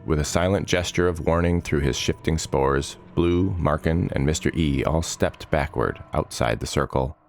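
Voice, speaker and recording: A man reading dramatic fantasy narration in a measured, solemn manner, giving short phrases weight. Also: a bass voice, vocal fry, faint music behind.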